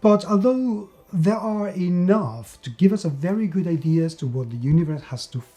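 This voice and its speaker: A man giving a conversational tabletop game review, emphatic, with repetition and dramatic pauses. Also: high voice, animated, mellow. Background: background music.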